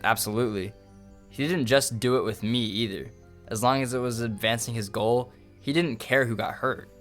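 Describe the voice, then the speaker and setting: A man's voice: mid-range voice, moderate pace, fairly bright tone, faint music behind, natural voice. A man playing an aggrieved former henchman and pilot testifying in an audio drama. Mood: bitter, matter-of-fact.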